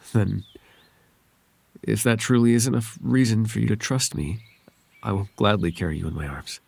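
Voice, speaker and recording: A man voicing a gentle, devoted and bashful winged fairy in an ASMR roleplay, speaking tenderly and hesitantly in formal, old-fashioned phrases. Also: lowish voice, measured pace, vocal fry, long pauses, no room sound. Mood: tender, unsure. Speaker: voice actor.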